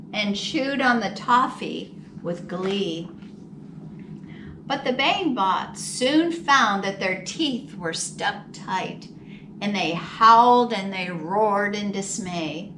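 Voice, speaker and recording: Female storytime reader for young children: expressive, dramatic, slow-paced, roomy distant recording. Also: mid-range voice. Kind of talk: children's picture book read-aloud. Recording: roomy, distant mic with faint noise.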